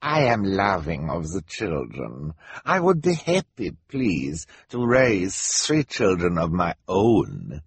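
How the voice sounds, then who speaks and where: A man's voice: low-pitched, wildly swooping pitch, slow pace, breathy edge, no room sound, exaggerated voice. A man voicing a treacherous villain who feigns politeness in broken English, tacking on "please". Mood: oily, menacing. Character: treacherous villain Gunter, oily fake foreigner feigning politeness.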